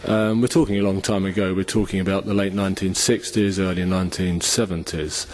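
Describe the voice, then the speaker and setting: Soft-spoken man in his sixties. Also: low voice, level tone, fairly quick, a little hiss or hum. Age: 60s. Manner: soft-spoken, hesitant with many fillers. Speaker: panel speaker, former footballer. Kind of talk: personal testimony at a forum. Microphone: speaking into a microphone on stage.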